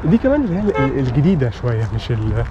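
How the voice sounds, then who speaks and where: A man with a Cairo accent talks very quickly, in the casual manner of someone showing viewers around on foot. His pitch sits in the middle for a man, and he drops English words into his Arabic. It is recorded outdoors with clear street and vehicle noise. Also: big pitch swings, muffled recording. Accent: Egyptian Arabic, Cairo. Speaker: vlog host giving a walking tour. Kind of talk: casual unscripted tour commentary. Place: outdoors walking along residential villa streets.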